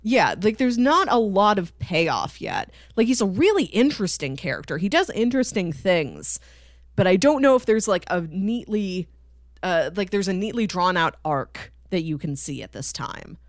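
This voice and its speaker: A woman's voice: medium pitch, very animated, normal speed, no echo, clean studio sound. A woman co-hosting a podcast, talking casually through a TV show and acting out imagined lines as she explains. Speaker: podcast co-host. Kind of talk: casual conversational TV show discussion.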